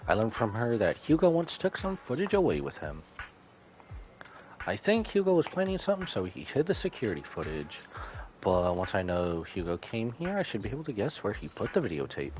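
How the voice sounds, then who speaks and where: A man's voice: fairly low pitch, wildly swooping pitch, fairly quick, darkish tone, poor audio. A man voicing a polite, earnest young woman informant from a video game as he reads her explanations aloud. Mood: earnest, explaining. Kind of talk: reading game dialogue aloud in character. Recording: faint game music behind.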